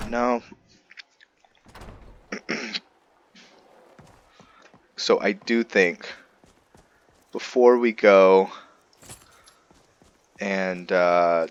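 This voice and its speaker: Deep-voiced, slow-paced man thinking aloud. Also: lo-fi sound. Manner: thinking aloud, musing to himself. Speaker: gameplay video host. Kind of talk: casual gameplay commentary.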